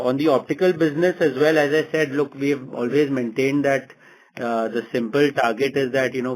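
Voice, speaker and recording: Measured man, company executive. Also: mid-range voice, rough recording. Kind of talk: earnings call answers.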